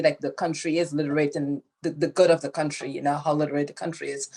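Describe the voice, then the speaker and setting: A woman from Guyana with a notably low voice, talking through unscripted panel remarks. She hesitates often, filling pauses and restarting her sentences as she goes. The sound comes through a video call. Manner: hesitant, many fillers and restarts. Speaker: nonprofit founder speaking on a panel.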